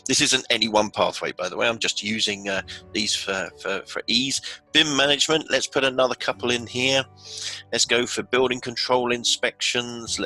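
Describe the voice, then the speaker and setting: A man's voice: on the low side, sharp tone, rough recording. A man presenting an informal instructional screen walkthrough, explaining each step aloud in a conversational way. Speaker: software tutorial presenter.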